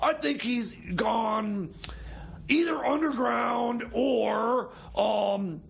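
A man playing a nervous, scheming time machine mechanic in comedy radio theater, gravelly, stammering and rambling as he repeats himself. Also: extremely high pitch, drawn-out words, shouting, heavily acted. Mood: nervous, evasive. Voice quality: creaky, gravelly.

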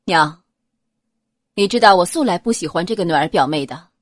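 A woman's voice: on the low side, expressive, normal speed, pitched below the performer's own voice. A woman voicing a proud, wary fourteen-year-old noble boy with a serious, formal manner in an audiobook. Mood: disapproving.

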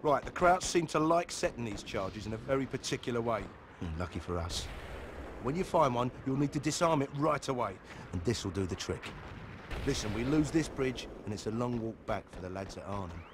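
An actor playing a matter-of-fact army corporal instructing soldiers in a war video game. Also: male voice, mid-range voice, expressive, a little fast, lightly acted. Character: dry, matter-of-fact male WWII corporal, sapper instructor. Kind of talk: video game cutscene acting. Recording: clear battle noise, gunfire behind.

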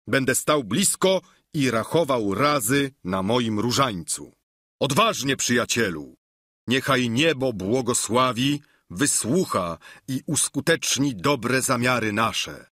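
A man voicing a solemn, kindly gentleman knight in a storybook, exhorting in grand, caring phrases. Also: mid-range voice, slightly rough, dry recording, clean studio sound.

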